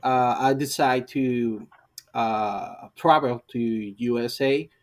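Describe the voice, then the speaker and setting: A middle-aged man from Cuba with a Cuban Spanish accent, a non-native English speaker, telling his story as an interview guest with many pauses and fillers. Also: medium pitch. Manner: halting, searching for words, many fillers. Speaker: guest, IT professional. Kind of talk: interview answers.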